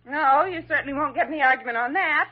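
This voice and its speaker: An actress playing a tart, sardonic hospital nurse with a dry, unflappable manner in an old radio drama. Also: female voice, high-pitched, fast, natural voice. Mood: wry. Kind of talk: 1940s radio drama acting. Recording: dull, muffled vintage radio recording.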